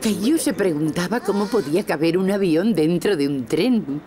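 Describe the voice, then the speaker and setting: A woman with a Castilian Spanish accent playing the gentle storyteller of a preschool cartoon. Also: fairly low pitch, lively intonation, normal speed, natural voice. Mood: gentle storytelling. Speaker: narrator. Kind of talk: scripted children's cartoon narration. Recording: music behind the voice.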